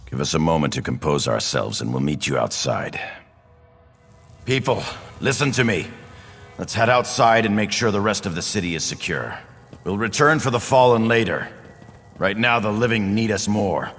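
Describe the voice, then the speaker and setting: A man's voice: fairly low pitch, lively intonation, normal speed, a bit bright, breathy edge, full character voice. A man playing an earnest, hesitant young nobleman, a dutiful son, in a fantasy video game. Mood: resolute. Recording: hall reverb, music behind.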